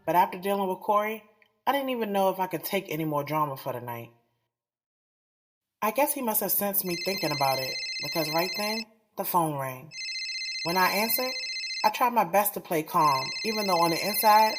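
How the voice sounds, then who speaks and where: An actress plays a blunt, self-protective woman in a confessional audio drama. She speaks in frank, profane slang at a middle pitch. Soft music sits behind her voice. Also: lively intonation, moderate pace, lightly acted. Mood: confessional, weary. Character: guarded, blunt, self-admittedly selfish woman narrating her love life. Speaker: narrator and lead of an audio drama.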